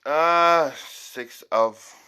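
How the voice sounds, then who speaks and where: A man's voice: fairly high pitch, drawn-out words, sharp tone, slight background noise. A man reading tarot cards for viewers in an informal, improvised way, blunt and emphatic, repeating phrases and swearing at times. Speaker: tarot and psychic reader hosting a reading.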